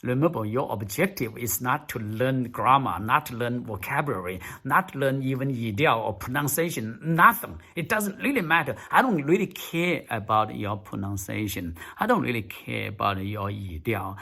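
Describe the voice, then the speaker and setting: Man, English speaking coach, casual tutorial, halting delivery, lots of fillers, repeats for emphasis, middle pitch. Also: gravelly, bright, forceful tone, slightly rough.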